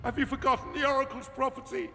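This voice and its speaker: An actor playing a vain, cowardly Roman emperor with a whiny, pleading manner in a video game cutscene. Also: male voice, extremely high pitch, fast, bright, forceful tone, big-room sound, a little hiss or hum, exaggerated voice.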